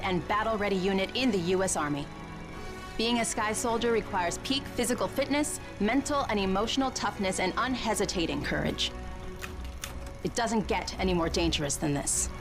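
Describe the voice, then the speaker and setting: An actress playing a bold, fearless airborne soldier, recruiting with hard-edged confidence in a video game film. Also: female voice, mid-range voice, moderate pace, music in the background, close to an everyday voice. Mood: bold, defiant. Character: tough, fearless American airborne paratrooper recruiter. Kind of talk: military recruitment film narration.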